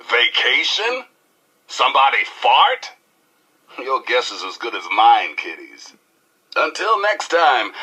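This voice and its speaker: A man's voice: medium pitch, sharp tone, slightly rough, full character voice, rough recording. An actor playing a brash, theatrical male radio DJ in a post-apocalyptic game, with slangy patter and irreverent swagger. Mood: playful, irreverent, then rousing. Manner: showy, irreverent, preacher-like swagger. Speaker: radio host and news announcer.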